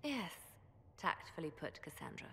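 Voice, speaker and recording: A woman's voice: on the low side, very animated, moderate pace, pushed and bright, big-room sound, slight background noise. An actress playing a cool, shrewd female spymaster in a fantasy video game, controlled and understated in delivery. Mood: cool, measured. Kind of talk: video game dramatic dialogue.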